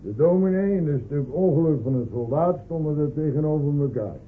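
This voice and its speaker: Actor as a high-pitched blustering village drunkard. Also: male voice, expressive, close to an everyday voice. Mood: gossipy. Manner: halting, repetitive, blustering. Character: cowardly, goading, gossipy village drunkard. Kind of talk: radio play acting. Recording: tinny, narrow-band old radio play.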